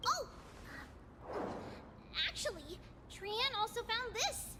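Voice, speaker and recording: A tiny, bubbly nymph girl with a very high, bouncing voice who talks slowly about herself in the third person. She sounds eager and earnest. Loud game music plays behind her throughout. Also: sing-song, heavy background noise, exaggerated voice. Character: tiny childlike female nymph, cheerful and earnest. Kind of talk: video game voice acting.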